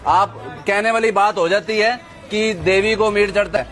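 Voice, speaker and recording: A man speaking in a street interview at a market, forceful and insistent. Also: high-pitched, fairly even intonation, moderate pace. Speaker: protester.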